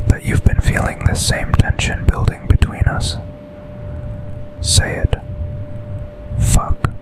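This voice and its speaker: Man acting as slow, whispering seductive boss. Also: natural voice, rough recording. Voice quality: breathy whisper.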